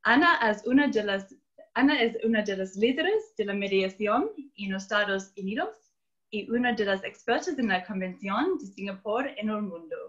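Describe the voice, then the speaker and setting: A woman's voice: medium pitch, expressive, moderate pace. Australian-accented woman, host, careful read-aloud intro, muffled lo-fi sound. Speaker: host, institute director. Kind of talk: scripted introduction.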